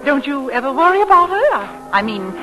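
A woman's voice: high-pitched, big pitch swings, normal speed. An actress playing a mature married lady who is fluttery, coy and girlishly gushing, in an old radio comedy. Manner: gushing, breathless hesitations, giggly. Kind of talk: 1940s-50s radio comedy acting. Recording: vintage radio recording, music behind.

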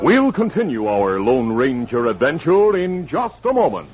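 A man's voice: medium pitch, wildly swooping pitch, normal speed, music in the background. A man narrating an old radio western in a dramatic, scripted style. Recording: dull, noisy old radio recording.

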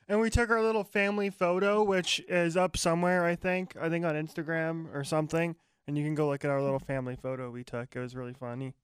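A man co-hosting a podcast, chatting casually with a loud, booming voice and frequent laughs. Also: fairly even intonation, fairly quick, smooth, dry recording, clean studio sound. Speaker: podcast co-host. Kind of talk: casual chat.

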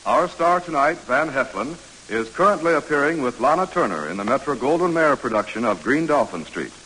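A man's voice: medium pitch, very animated, a little fast, heavy background noise. An American man announcing a 1940s radio program in a formal, grand, declamatory style. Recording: old radio broadcast. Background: loud music.

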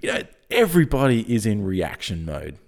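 An Australian man with a croaky early-morning voice, chatting informally and jokily as a podcast guest pitching his business. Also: big pitch swings, brisk, darkish tone. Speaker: podcast guest, software company founder. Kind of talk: casual conversational pitch.

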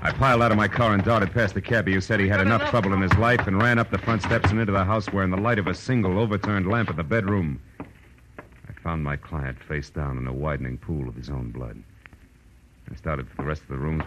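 Actor as a deep-voiced hard-boiled private eye. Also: male voice, fast, noisy background, lightly acted. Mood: wry, tense narration. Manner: deadpan, wisecracking. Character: hard-boiled wry male private detective narrating his case. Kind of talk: 1940s radio noir acting, scripted narration. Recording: old radio recording with music.

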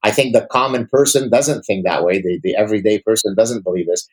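A man's voice: on the low side, fairly quick, low-quality recording. Emphatic, articulate man from Lebanon, native Arabic speaker. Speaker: interview guest, academic. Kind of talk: opinionated conversational interview.

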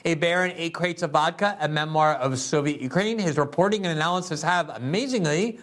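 A man's voice: a little high, fairly quick, a bit bright. Earnest man hosting a political show. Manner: earnest, long winding questions. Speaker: show host. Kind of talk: political interview and sponsor read.

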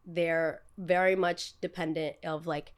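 A woman's voice: mid-range voice, moderate pace. A young woman with a New Jersey accent, chatting casually as a podcast host in a blunt, emphatic, slangy way. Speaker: podcast host, content creator.

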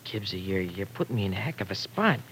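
An actor playing an evasive, slangy errand runner in an old-time radio drama, stammering in streetwise slang. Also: male voice, deep voice, sing-song, fast talker, a bit bright, slightly rough, full character voice, low-quality recording. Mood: urgent pleading. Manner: streetwise slang, hesitant and stammering under pressure.

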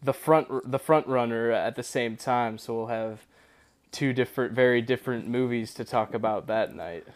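A man's voice: mid-range voice, normal speed. A man co-hosting a podcast in casual chat, enthusiastic and eager. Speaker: podcast co-host.

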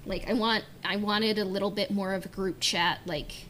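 A woman's voice: medium pitch, steady tone, moderate pace, a bit bright. A woman chatting casually on a fan discussion podcast, informal and hedging, with illustrative asides. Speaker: podcast panelist. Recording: clear background noise.

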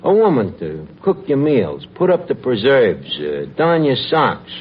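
Lively wisecracking New schemer. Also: male voice, medium pitch, measured pace. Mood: wheedling. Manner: ungrammatical, hesitant, wheedling. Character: scheming, wisecracking lowbrow city guy, male. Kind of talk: 1940s radio comedy acting. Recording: noisy dull old radio recording.

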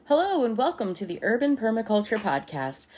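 A woman's voice: a little high, big pitch swings, fairly quick, loud music behind the voice. A woman hosting a podcast, giving an upbeat, friendly welcome and introducing her guest. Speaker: podcast host. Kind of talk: scripted show intro and interview questions.

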